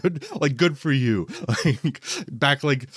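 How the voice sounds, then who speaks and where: A man's voice: mid-range voice, slow, husky, no room sound, studio quality. A man co-hosting a podcast, talking casually and chattily about movies. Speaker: podcast co-host.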